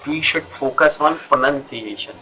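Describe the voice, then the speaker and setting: A man's voice: fairly high pitch, moderate pace, sharp tone, low-quality recording. A middle-aged man from North India with a North Indian accent, an English teacher chatting earnestly over a video call and repeating his points. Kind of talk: casual conversation.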